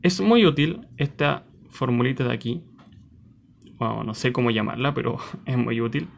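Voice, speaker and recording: A man's voice: mid-range voice, normal speed, creaky, a little hiss or hum. A man giving an informal step-by-step tutorial, often asking questions and answering them himself, with short check-in tags. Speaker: physics tutor presenting a lesson.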